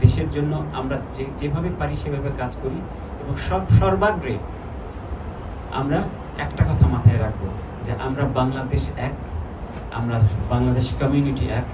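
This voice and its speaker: A man's voice: medium pitch, steady tone, moderate pace, pauses often. Bangladeshi guy giving a public speech, very noisy, echoey. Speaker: community event speaker. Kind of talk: public speech urging unity. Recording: echoey, distant, loud vehicle-like noise.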